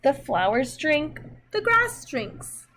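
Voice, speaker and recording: A woman reading a picture book aloud to young children, expressive and simple in her delivery, with dramatic pauses and emphasis. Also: high-pitched, slight background noise. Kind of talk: children's read-aloud storytelling.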